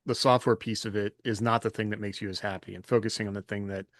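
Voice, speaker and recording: Low-voiced man, dry close sound. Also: steady tone, fast, no room sound. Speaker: podcast co-host and writer. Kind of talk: casual chat.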